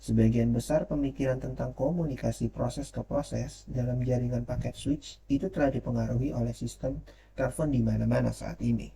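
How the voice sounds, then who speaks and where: Man, very mellow dark tone, gravelly fry, formal read-out lecture, occasional stumbles, light background noise. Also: mid-range voice, fairly even intonation, fairly quick. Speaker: presenter reviewing a technical paper.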